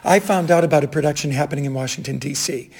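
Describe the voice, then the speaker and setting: A man in his sixties from Boston, with a Boston accent, telling stories from his stage career in a chatty, animated way. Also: on the high side, quick pace, gravelly, husky. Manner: chatty, animated anecdotes with fillers. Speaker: stage actor and director. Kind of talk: casual interview storytelling.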